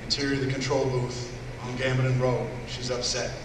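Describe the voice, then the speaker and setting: A man with a mid-pitched voice reads script directions at a live table read. He is far from the mic in an echoing hall with noise. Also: level tone, brisk. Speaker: voice actor reading stage directions.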